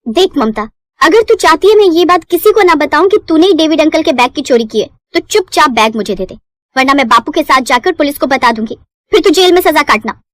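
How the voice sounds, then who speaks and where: A woman's voice: high voice, expressive, brisk, fairly bright tone, no echo, studio quality. A woman voicing an honest, principled young female food seller in a cartoon moral story, with an earnest manner. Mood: stern, scolding.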